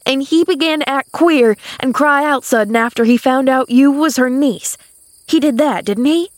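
An excitable housemaid from a storybook, acted out by a woman narrator. Her pitch rides high as she gossips in folksy, rustic, ungrammatical phrases. She is dramatic and repeats herself, recorded close and dry. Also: moderate pace, no room sound, close to an everyday voice. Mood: excited. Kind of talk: audiobook character voice.

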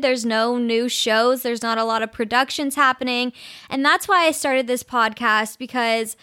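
A woman's voice: sits high, bright, forceful tone, no room sound, clean studio sound. A young woman in her twenties hosting a casual solo podcast, chatty and dramatic, often laughing as she talks. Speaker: podcast host.

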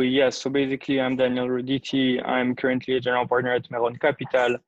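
A man's voice: medium pitch, no room sound. A man in his thirties from Switzerland, a venture capital investor answering interview questions methodically, laying out points one by one with frequent fillers. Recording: dull lo-fi dry sound.

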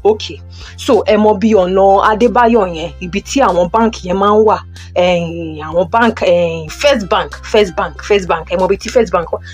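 A woman's voice: medium pitch, fairly quick, slightly rough, faint music behind, close to an everyday voice. A woman plays a Nigerian housing agent, a businesslike man in an audio drama. He is pushy and persuasive, starting sentences with small hesitations. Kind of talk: audio drama acting, Yoruba-English phone conversation.

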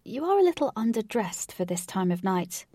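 A woman's voice: mid-range voice, very animated, moderate pace, mellow, no room sound. A woman plays a young storybook girl, awkward and unsure of herself. She speaks earnestly and plainly, in a close, dry recording. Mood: awkward, curious.

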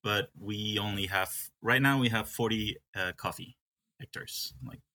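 Bright-voiced man from Peru, halting. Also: lowish voice. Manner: hesitant, with many restarts. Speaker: coffee farm manager. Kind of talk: casual interview.

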